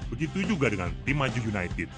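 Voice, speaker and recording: A man's voice: medium pitch, very animated, fairly quick, music in the background. A man delivering scripted teaser narration as the narrator of a radio drama.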